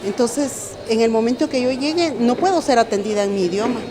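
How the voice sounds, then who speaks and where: A woman's voice: on the high side, expressive, a little fast. Earnest Uspanteko-speaking woman, crowd around. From Guatemala. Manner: earnest, with restarts.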